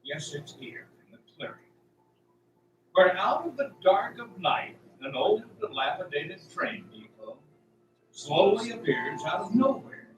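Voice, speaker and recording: A man narrating a radio play as a scripted story, in a theatrical, deliberate, suspenseful way. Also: high voice, sing-song, slight background noise. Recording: roomy, distant, music behind. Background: music.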